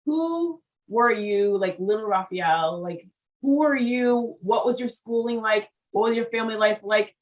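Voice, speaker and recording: A woman hosts a casual interview in a quick, chatty manner, often repeating herself as she cuts in. Her voice sits somewhat high. She sounds a little distant from the microphone, as on a video call. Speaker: interview host.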